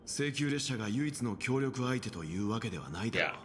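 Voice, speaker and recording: A man voicing a steady, resolute young male leader in a game, speaking firmly and declaratively. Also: medium pitch, very fast, close to an everyday voice. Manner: firm and declarative. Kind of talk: Japanese game voice acting. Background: game music.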